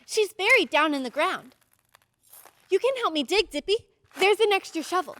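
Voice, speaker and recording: A woman's voice: extremely high pitch, expressive, normal speed, a bit bright, slight background noise. A woman playing an earnest, insistent ten-year-old girl in an old-time radio drama, urgent and pleading. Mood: determined, narrating.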